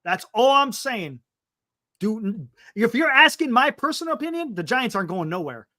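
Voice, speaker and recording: Animated, emphatic man in his fifties. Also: extremely high pitch, moderate pace, no room sound, clean studio sound. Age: 50s. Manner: emphatic, repeats himself, calls people "man". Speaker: sports talk show host. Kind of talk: casual live-stream sports chat.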